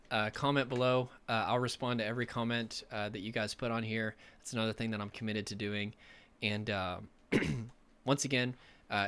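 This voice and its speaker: Hoarse young man, earnest coach. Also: mid-range voice, a bit bright. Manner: earnest, rambling, many fillers and restarts. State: losing his voice, a bit sick. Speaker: recovery coach. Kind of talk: casual direct-to-camera talk.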